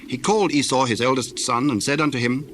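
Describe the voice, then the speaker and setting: A man narrating scripture from a script in a measured, formal way. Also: mid-range voice, fairly bright tone. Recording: faintly noisy old recording.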